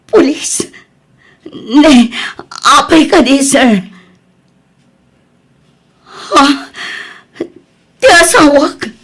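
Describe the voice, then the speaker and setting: A woman's voice: sits high, heavily acted. A woman with an Ilocano accent playing a betrayed, grieving wife and mother in an audio drama, lamenting tearfully. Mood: shaken, subdued. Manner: tearful, slow, drawn-out lamenting.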